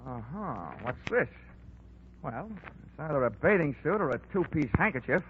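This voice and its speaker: Casting: wry, tough radio detective, slightly high voice, sardonic wisecracks, vintage 1940s broadcast sound. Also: male voice, very animated, moderate pace, noisy background. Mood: tough questioning. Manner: wry, hard-boiled, sardonic. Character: wisecracking, tough male insurance investigator. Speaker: lead investigator and narrator. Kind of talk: 1940s radio detective drama acting and narration. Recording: tinny 1940s radio recording.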